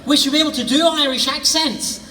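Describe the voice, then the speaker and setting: An older man from the Scottish Highlands with a Scottish accent, telling playful, theatrical stories in answer to audience questions at a theatre show. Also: fairly even intonation, fairly quick, sharp tone. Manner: playful, theatrical, hopping between high and low tones, laughing often. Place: large theatre hall. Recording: stage mic in a reverberant hall, heard from a distance.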